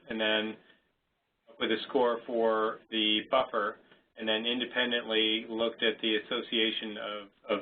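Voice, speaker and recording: A man presenting in a webinar, answering a question with lots of restarts and fillers. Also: fairly low pitch. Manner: hesitant, many restarts and fillers. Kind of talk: unscripted Q&A answer. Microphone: conference call line. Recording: tinny phone-line audio, faint hiss.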